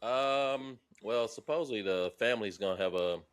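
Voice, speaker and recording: A man's voice: lowish voice, normal speed. A man about to turn fifty, co-hosting a podcast in casual, joking, profane chat. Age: 40s. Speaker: podcast co-host.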